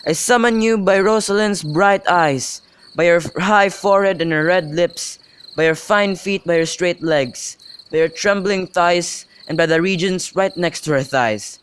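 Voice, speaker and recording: Casting: young man as bawdy, teasing young gallant, fairly high male voice, theatrical, amateur radio play with faint noise. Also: unhurried, a bit bright. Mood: mock-grand. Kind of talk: amateur student radio drama of Shakespeare in modern English. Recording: noisy home recording with clicks.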